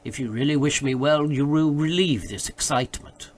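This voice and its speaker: A man voicing an excited, grandiloquent gentleman obsessed with a quest in a literary audiobook, insistent in manner. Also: on the high side, moderate pace, a little hiss or hum, lightly acted. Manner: pompous, insistent. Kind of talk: literary audiobook character dialogue.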